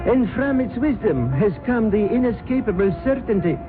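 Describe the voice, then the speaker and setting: An actor playing a solemn male temple guru who lectures on the immortal soul in a measured, intoning manner, in an old radio drama. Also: high-pitched, big pitch swings. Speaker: cult leader preacher. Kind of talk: 1970s radio drama acting. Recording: phone-like old radio broadcast with music.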